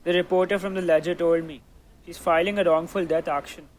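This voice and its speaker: High school boy acting as businesslike aide, higher-pitched, radio drama, faint noise. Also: fairly even intonation, brisk, close to an everyday voice, rough recording. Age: teens, high school student. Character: businesslike company aide bearing grim news.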